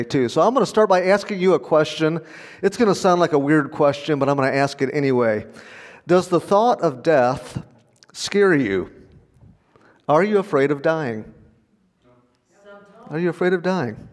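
Earnest man preaching in a reverberant hall. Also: a little high. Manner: conversational, earnest, with small laughs. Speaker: pastor. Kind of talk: sermon.

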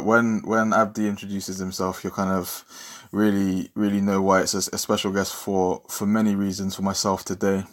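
A man hosting a podcast casually, rambling informally and repeating phrases as he thinks. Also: lowish voice. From Bristol, England. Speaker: podcast host.

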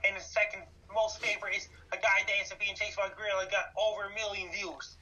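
A man's voice: high-pitched, little pitch movement, quick pace, bright, forceful tone, distant mic, muffled recording. A man in his thirties from Canada, answering interview questions by listing facts and dates over and over. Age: 30s. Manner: repetitive, lists facts and dates. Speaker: guest, YouTuber.

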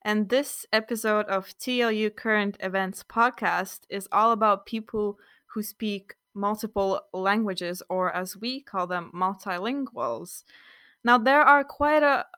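Young female podcast host, easygoing, smooth tone, dry close sound. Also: medium pitch, fairly bright tone, dry recording. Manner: friendly, loosely improvised with fillers. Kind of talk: casual podcast chat.

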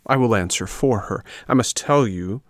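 A man's voice: fairly low pitch, normal speed, dry recording, studio quality, lightly acted. A man voicing a sly fox full of theatrical false sympathy in a storybook reading. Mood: pious, smooth.

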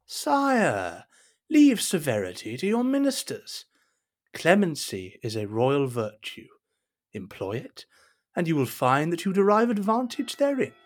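An actor playing a scheming, deferential cardinal in a period audio drama, speaking in a measured, formal, persuasive way. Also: male voice, on the high side, wildly swooping pitch. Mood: deferential, insinuating. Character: smooth, scheming cardinal, deferential royal minister.

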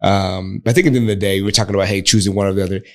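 A man co-hosting a podcast in casual conversation, easygoing and measured as he works through his thoughts. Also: low-pitched, steady tone. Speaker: podcast co-host. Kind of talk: casual couples chat.

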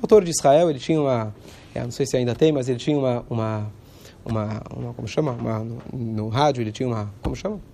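Guy w a cold, kinda hoarse, mellow voice, chatty religious lesson. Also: mid-range voice. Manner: conversational, pauses to search for words. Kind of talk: informal Torah lesson.

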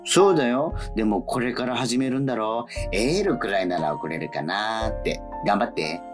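A woman in a whimsical radio drama playing a dying withered leaf, a gently philosophical male character speaking in a theatrical, poetic manner. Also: extremely low pitch, expressive, normal speed, music in the background. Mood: resigned, encouraging.

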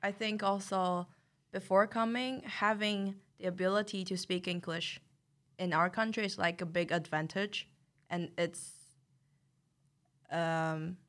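Young woman from taiwan, mandarin is her first language, low voice, calm and thoughtful. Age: young adult. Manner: thoughtful, measured, uses "like" as filler. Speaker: podcast guest. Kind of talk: casual chat.

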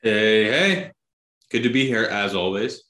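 A man's voice: lowish voice, expressive, measured pace, pushed and bright. A man co-hosting a podcast in casual conversation, recorded with a roomy echo. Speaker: podcast co-host. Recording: roomy, echoing room sound.

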